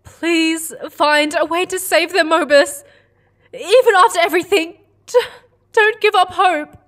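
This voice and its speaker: A young, emotional female character acted by a woman in a sci-fi audio drama. Her voice is extremely high and she speaks slowly, with earnest pleading. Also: fairly bright tone. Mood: pleading, anxious. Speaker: voice actor.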